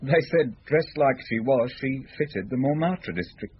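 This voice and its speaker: Husky, uneasy bartender, muffled recording. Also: male voice, medium pitch, expressive, a little slow. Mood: defensive, uneasy. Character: shady, uneasy male bartender confessing as accomplice. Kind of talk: radio drama acting. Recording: muffled old radio broadcast.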